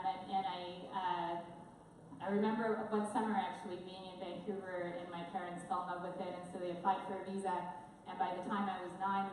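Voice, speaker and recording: A woman's voice: on the low side, a little mellow, slight background noise. A middle-aged woman from Venezuela whose first language is Spanish tells her personal story on a conference stage, candid, with many fillers and asides. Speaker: conference speaker. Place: large conference hall. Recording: echoey hall from far off.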